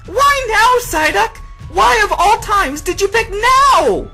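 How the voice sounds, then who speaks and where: Guy doing a frantic young girl for a story, gutsy, yelling way up high. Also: animated, slow, music in the background, full character voice. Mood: urgent, exasperated.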